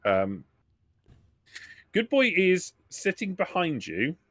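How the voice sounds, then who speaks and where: A man narrating a tabletop roleplaying game as game master, halting and repeating phrases as he explains. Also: sits high, wildly swooping pitch, fairly bright tone, no room sound.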